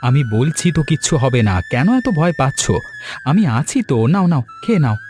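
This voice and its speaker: A man's voice: fairly high pitch, expressive, fairly quick, a little mellow, close to an everyday voice. A man voicing a tender young newlywed husband in a cartoon, soothing and reassuring. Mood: coaxing, reassuring. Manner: coaxing, soothing. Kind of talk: Bengali cartoon dialogue acting. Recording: background music under voice.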